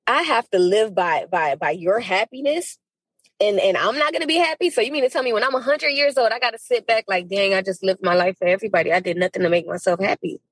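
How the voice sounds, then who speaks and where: American woman, husky edge, medium pitch, casual interview chat, emphatic slangy storytelling. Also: fast, no room sound. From Miami, United States. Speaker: podcast guest, actress.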